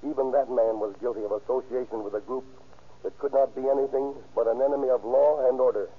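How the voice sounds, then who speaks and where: Stern, husky radio speaker. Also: male voice, mid-range voice, fairly even intonation, fast talker. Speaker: guest commentator giving a closing moral. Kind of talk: formal scripted 1930s radio address. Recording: tinny old radio recording.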